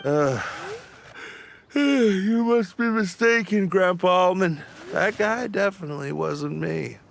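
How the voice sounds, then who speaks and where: A man's voice: sits high, expressive, slow, vocal fry, exaggerated voice. A man voicing a sleepy, sheepish young origami animal in a game, drawling and reluctant. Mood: evasive, groggy.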